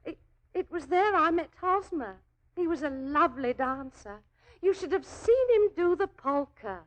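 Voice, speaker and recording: High-voiced, flustered, naive young Englishwoman. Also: animated, unhurried. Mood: chatty, deferential. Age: young adult. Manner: deferential, chatty, flustered, with homely ungrammatical turns of phrase. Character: naive, genteel working-class young woman, earnest lovelorn client. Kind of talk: 1940s radio drama acting.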